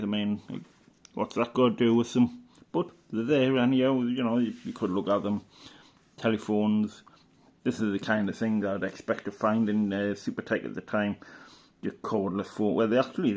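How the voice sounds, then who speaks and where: A man's voice: fairly low pitch, a little mellow. A middle-aged man, talking informally and hesitantly as he thinks aloud through a show-and-tell. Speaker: hobbyist vlogger. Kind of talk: casual rambling show-and-tell commentary.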